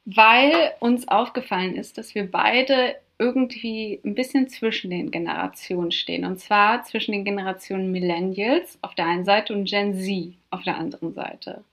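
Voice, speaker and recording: A woman in her twenties co-hosting a podcast, chatting playfully with deadpan quips. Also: medium pitch, moderate pace, hardly any pauses. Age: 20s. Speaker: podcast co-host. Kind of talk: casual chat.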